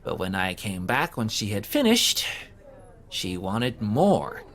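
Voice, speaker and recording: A man's voice: on the low side, wildly swooping pitch, takes it slowly, a bit bright, full character voice. A man playing an awkward, hapless young man in an audio drama, talking in a hesitant, sheepish way full of fillers. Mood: sheepish, reluctant. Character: awkward, hapless young man who keeps getting fired. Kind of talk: conversational audio drama acting.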